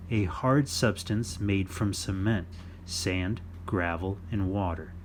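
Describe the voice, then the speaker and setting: Man reading to young children, noisy background. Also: medium pitch. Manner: instructive, addressing young children, with playful exclamations. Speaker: children's story-time host. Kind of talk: read-aloud of a non-fiction picture book for young children.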